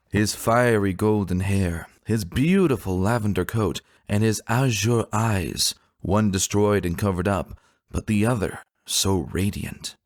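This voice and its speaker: A man narrating a fantasy story as a dramatic reading, solemn and deliberate in his storytelling. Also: fairly low pitch, dry recording, studio quality.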